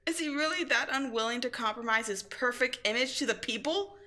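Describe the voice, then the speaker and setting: A woman's voice: fairly high pitch, fairly quick, bright, forceful tone. A woman playing a sardonic, commanding supervillain in a scripted audio roleplay, biting and contemptuous as she tends a captive sidekick. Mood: furious, frantic.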